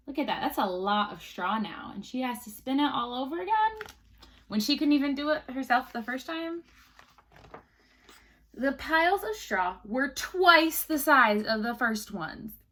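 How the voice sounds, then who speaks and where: A woman teacher reading a storybook aloud to children, pausing to explain things simply and ask questions. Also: high-pitched, expressive, fairly bright tone.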